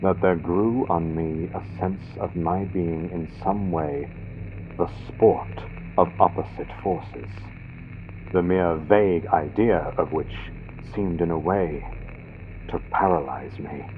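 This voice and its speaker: A man's voice: low voice, slow, highs cut off, a little hiss or hum. A man narrating a gothic story as a dramatic scripted audiobook, with a vintage radio sound from a built-in computer microphone.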